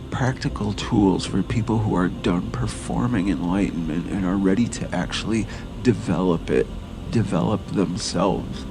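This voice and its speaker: A man giving a scripted motivational narration as a presenter, in an earnest, measured manner. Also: fairly low pitch, vocal fry, rough recording. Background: music.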